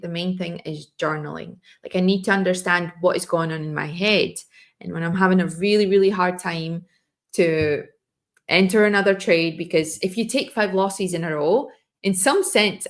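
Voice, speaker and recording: Female live-stream trading host, slightly low voice going hoarse, casual and meandering delivery. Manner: loose, restarting explanations. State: losing her voice, throat clearing.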